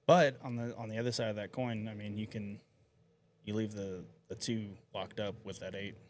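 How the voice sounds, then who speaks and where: A man's voice: fairly low pitch, fairly even intonation, moderate pace, a little hiss or hum. A man co-commentating a live pool match, chatty and opinionated, often restarting his sentences.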